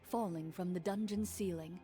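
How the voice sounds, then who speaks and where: A woman playing a composed, formal duelist and game master in an anime game, measured and matter-of-fact. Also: on the low side, mellow, lightly acted. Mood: solemn narration. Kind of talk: anime game voice acting, narration. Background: background music.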